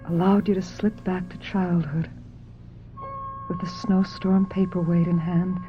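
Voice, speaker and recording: An actress playing a haunted, pill-dependent film star in a radio drama, theatrical, measured and emotionally raw. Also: female voice, lowish voice, natural voice. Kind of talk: radio drama acting, confessional narration and dialogue. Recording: dull lo-fi radio drama recording.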